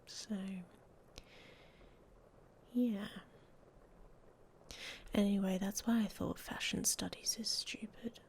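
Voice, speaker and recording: A woman playing a guarded, hot-tempered young werewolf in an ASMR roleplay, speaking in breathy half-whispers with a hesitant, dryly blunt manner. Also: mid-range voice, fairly even intonation, slow pace, pauses often. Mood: quiet, confiding. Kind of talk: ASMR roleplay audio story, intimate monologue. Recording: faint background noise.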